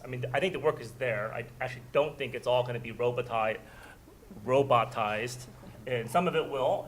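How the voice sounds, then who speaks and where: A man's voice: mid-range voice, slight background noise. Male chief executive on a panel. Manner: repeats words while building thoughts. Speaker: company CEO and panelist. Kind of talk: panel discussion answers.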